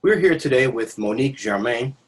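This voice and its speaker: Husky-voiced male interviewer. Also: mid-range voice, expressive, brisk. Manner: brief, conversational prompts. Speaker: interviewer for the foundation. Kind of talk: interview host.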